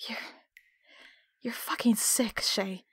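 A woman playing a betrayed, defiant captive in an audio drama, speaking with hurt, accusing, sharp-tongued emotion. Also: fairly high pitch, slow pace, breathy edge, dry recording, whispered. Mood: defiant contempt. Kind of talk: audio roleplay acting.

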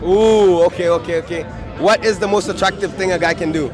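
A young man asking passers-by quick questions outdoors, teasing and playful, into a handheld mic. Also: high-pitched, fairly even intonation, music in the background, a crowd in the background. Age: young adult. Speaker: street interviewer. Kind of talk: casual street interview questions. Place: outdoors on a beach promenade and street.

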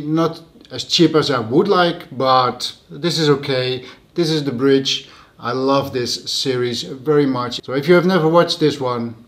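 A man hosting a casual show-and-tell vlog at home, plain and matter-of-fact about his purchases. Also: medium pitch. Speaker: host.

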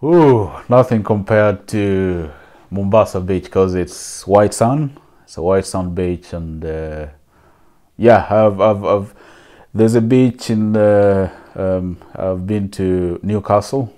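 Deep voiced ugandan guy, soft and thoughtful, chatting in his living room. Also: slow pace. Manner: soft-spoken, reflective, with fillers. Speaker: interviewee. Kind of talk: personal interview answers.

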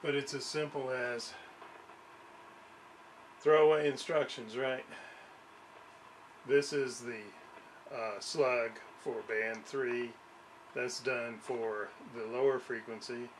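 A man giving a casual hands-on demonstration, haltingly thinking aloud as he works. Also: medium pitch, steady tone, slight background noise, poor audio. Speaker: radio restoration hobbyist presenter. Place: small indoor home workshop.

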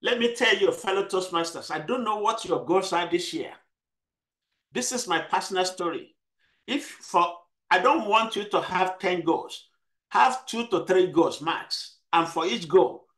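A man's voice: high-pitched, fairly bright tone. A man with a South Texas accent giving an emphatic motivational speech at a club meeting over a video call. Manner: emphatic, repeats key phrases.

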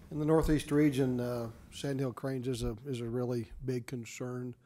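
Man, council report, monotone, mellow tone, halting with uhs. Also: medium pitch. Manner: halting, many uhs and restarts. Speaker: regional advisory council chair. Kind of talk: reporting to a board.

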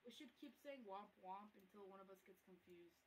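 A woman's voice: mid-range voice, lively intonation, brisk, rough recording. A woman whose first language is Spanish, joking around playfully on a voice call. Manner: playful, goofy. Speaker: friend on voice chat. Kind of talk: casual gaming chat.

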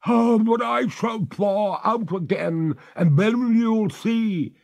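A genteel lady ghost of the water pleads in a high, stretched, imploring voice, as a man reading the story puts her on. Her lines are slow and swoop widely in pitch, with a slightly odd, strained timbre. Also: very animated, a little mellow, full character voice. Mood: pleading.

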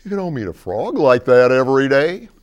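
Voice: medium pitch, very animated, moderate pace, pitched above the performer's own voice, lightly acted. A performer playing a good-natured, agreeable male castaway who speaks in an earnest, emphatic way. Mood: agreeable, surprised.

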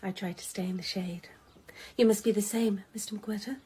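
An actress playing a quiet, polite, apologetic ex-wife in a radio drama. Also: female voice, mid-range voice, steady tone, moderate pace, breathy edge. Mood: polite, reserved. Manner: reserved, conciliatory. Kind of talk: British radio drama acting.

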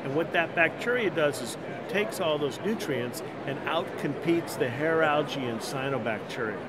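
Older man pitching a product amid loud noise. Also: animated, normal speed. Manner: assured, fluent pitch. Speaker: company founder guest. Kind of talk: product explanation. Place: busy indoor expo booth. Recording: loud background noise of the expo hall.

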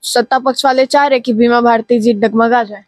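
A woman's voice: fairly high pitch, steady tone, brisk, no echo, clean studio sound. A woman in her twenties with an Indian accent, working as a news reporter and interviewer with a handheld microphone. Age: 20s. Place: living room, indoors.

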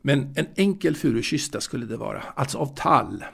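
An actor playing a lonely, melancholy ageing waiter in an intimate audio drama monologue, halting and reflective, repeating himself and pausing. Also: male voice, medium pitch, animated, vocal fry, a bit bright, breathy edge, exaggerated voice. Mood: resigned, fussy. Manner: halting, reflective, fussy, with repetitions and pauses. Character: lonely, melancholy ageing waiter reminiscing about his late mother.

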